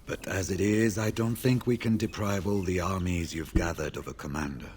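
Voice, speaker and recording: An actor playing a weary, grave older warrior in a video game, speaking in a measured, solemn and formal way. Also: male voice, sits low, steady tone, darkish tone, a little hiss or hum, lightly acted. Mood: grave, resolute. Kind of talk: video game character dialogue.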